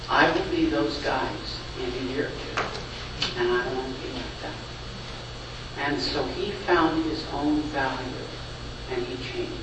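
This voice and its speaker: A man with a somewhat high voice tells an earnest, reflective story about his group work. He sounds distant from the mic in a very echoey room, with clear background noise. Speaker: group facilitator at a psychiatric unit. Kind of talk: sharing a story in a group meeting.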